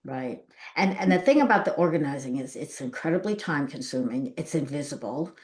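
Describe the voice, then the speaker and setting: A woman's voice: sits low, animated, a little fast, a little mellow, roomy sound. An American woman hosting a podcast, conversational and bluntly opinionated as she interviews. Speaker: podcast host.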